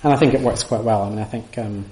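A man's voice: lowish voice, fast talker, reverberant, noisy background. A man giving an informal lecture and answering audience questions, thoughtful and full of restarts. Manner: thoughtful, many restarts and hedging fillers. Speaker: lecturer on Sanskrit texts. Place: room with audience.

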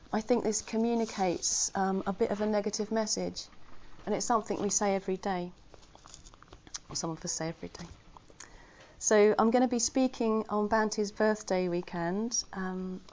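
A woman's voice: mid-range voice, a little mellow. A woman giving an informal Dharma talk to her Buddhist community. She speaks earnestly and conversationally, with self-deprecating humour. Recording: slightly muffled recording.

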